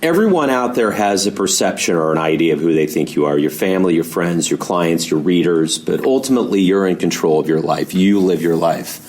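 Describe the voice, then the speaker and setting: Low-voiced man hosting an interview. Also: animated, a little fast, few pauses, a little mellow. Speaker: interview host. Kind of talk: conversational interviewing.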